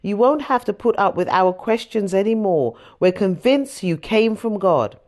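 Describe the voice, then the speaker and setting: A woman voicing the eager, earnest disciples in an audiobook reading of scripture, in an animated way. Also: mid-range voice, measured pace, lightly acted. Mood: eager, relieved.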